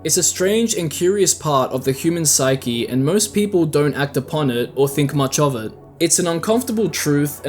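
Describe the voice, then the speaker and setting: A man's voice: a little high, a little mellow. A man delivering scripted video essay commentary in a conversational, opinionated way. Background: background music.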